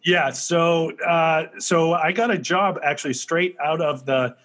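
A man's voice: on the high side, fairly even intonation, unhurried, a bit bright. A man in his fifties from New England, a company CEO telling his background story in an upbeat, rambling way on a podcast. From Massachusetts, New England. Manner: rambling, many fillers and restarts, upbeat. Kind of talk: casual interview storytelling.

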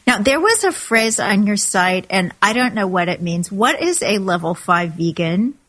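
A woman's voice: mid-range voice, lively intonation, moderate pace, fairly bright tone. A woman interviewing a guest, friendly and encouraging, laughing often.

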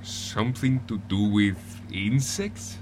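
A man's voice: on the low side, takes it slowly, slight background noise. A man playing a terse Spanish-speaking plotter in an audio drama. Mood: terse, grave.

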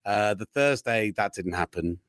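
A man's voice: on the low side, moderate pace, dry recording, clean studio sound. A man hosting a sports podcast, telling long rambling stories in a casual chat. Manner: chatty rambling storyteller, animated. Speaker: podcast host.

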